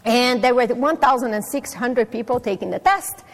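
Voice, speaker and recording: Middle-aged Brazilian woman, Portuguese accent, slightly high voice, friendly fumbling stage talk in a reverberant hall. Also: moderate pace. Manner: chatty, self-deprecating, many fillers. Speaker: conference speaker. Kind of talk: personal talk to a live audience. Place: conference hall.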